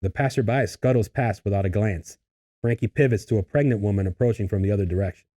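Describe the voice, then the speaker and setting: A man's voice: on the low side, fast talker, mellow. A man reading stage directions as scripted narration for a table read.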